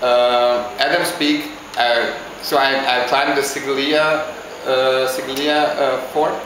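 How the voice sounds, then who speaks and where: A man from Hungary, answering interview questions in a halting, casual way as he searches for words. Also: medium pitch, level tone, background noise. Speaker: interviewee, visiting traveler. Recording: very echoey with clear background ambience.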